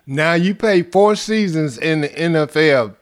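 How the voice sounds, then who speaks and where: Guy interviewer, fairly high voice, asks questions in a measured, formal way.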